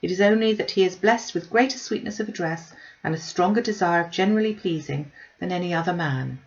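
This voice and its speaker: A woman voicing a gentle, modest and earnest young lady in a novel reading, polite and measured. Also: lowish voice, lo-fi sound, close to an everyday voice. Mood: earnest protest.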